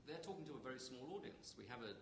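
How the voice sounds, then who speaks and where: A man's voice: mid-range voice, expressive, rapid-fire. A middle-aged man moderating a panel discussion, making dry, opinionated asides. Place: lecture hall. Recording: echoey hall with background hiss.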